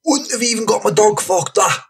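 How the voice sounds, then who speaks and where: A scruffy, menacing ex-con played by a male storyteller rants and boasts in sweary slang. The voice is rough and husky, pushed higher than the reader's own, with slow, drawn-out delivery. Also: expressive, a bit bright, exaggerated voice. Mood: fuming, ranting. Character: scruffy stumpy ex-con man, raging, boastful, menacing lout.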